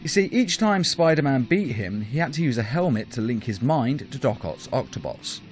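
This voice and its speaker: A man narrates a scripted top-five video as its host. His pitch is middling and swings widely as he explains and stresses his points. Soft plucked guitar music plays behind him. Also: expressive, normal speed.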